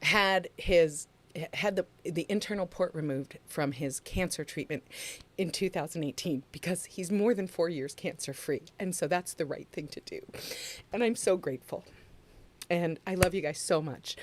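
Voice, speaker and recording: Low-voiced woman chatting on a livestream. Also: normal speed. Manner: chatty, rambling, laughs often. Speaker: podcast co-host. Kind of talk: casual livestream chat.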